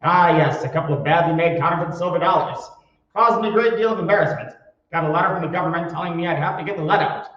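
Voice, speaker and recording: A man's voice: on the high side, fast talker, close to an everyday voice. An actor playing a shady, jovial con man who rambles and mutters floridly in old-time radio comedy style. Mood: muttering, rambling. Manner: flowery, rambling, muttering to himself. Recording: echoey hall, distant mic, dull sound.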